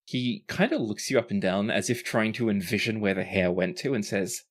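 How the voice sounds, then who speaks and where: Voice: deep voice, normal speed. A game master narrating a tabletop role-playing game in a casual, sweary way.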